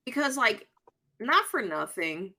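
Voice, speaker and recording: A woman with a Dominican accent who grew up between Puerto Rico and the Dominican Republic, co-hosting a podcast and telling stories in a blunt, animated way. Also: on the high side, slow pace, creaky, crowd noise. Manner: blunt, animated storytelling with casual swearing. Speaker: podcast co-host. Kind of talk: casual chat.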